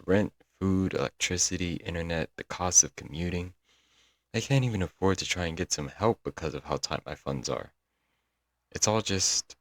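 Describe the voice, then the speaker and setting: Young male yandere stalker, played by a man: very low, dry-recorded, doting, earnest, clingy, for intimate audio roleplay. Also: a bit bright, no room sound. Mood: fretful, exasperated. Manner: doting, earnest, dramatic with sighs and chuckles. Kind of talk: intimate ASMR audio roleplay, scripted monologue.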